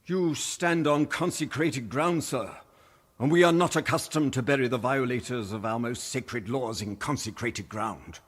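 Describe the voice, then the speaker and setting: A man's voice: medium pitch, a little slow, close to an everyday voice. An actor playing a stern, pious Father Superior of a convent in a period radio drama, severe, imperious and formal. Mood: cold, righteous.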